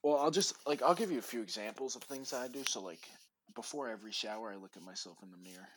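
A man's voice: mid-range voice, fast, low-quality recording. A young man with a lisp, rambling and swearing in a casual podcast chat with a friend. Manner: rambling and profane, full of "like". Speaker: podcast co-host.

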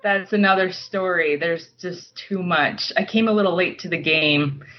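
A woman's voice: lowish voice, normal speed. An American woman from Utah, a writer and teacher, talking casually as an interview guest. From Utah, United States. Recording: dull lo-fi line, faint background noise.

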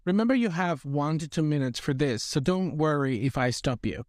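A man with a slightly raised pitch conducts a spoken exam. He delivers scripted questions and instructions in a formal, orderly way, recorded dry. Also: expressive, normal speed, a little mellow, no room sound. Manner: formal, clearly structured instructions. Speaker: oral English exam examiner.

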